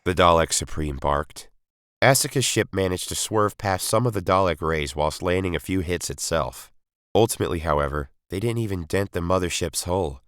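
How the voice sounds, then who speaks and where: Deep-voiced man narrating an adventure story. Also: animated, normal speed, a bit bright, no echo, studio quality. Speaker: story reader. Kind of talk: dramatic scripted narration.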